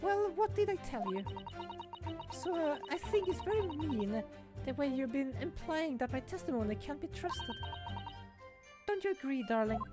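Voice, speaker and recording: A man putting on a shrill fussy old woman. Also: expressive, moderate pace, music in the background. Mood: coy. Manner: high wavering falsetto, dramatic pleading. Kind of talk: reading game dialogue aloud.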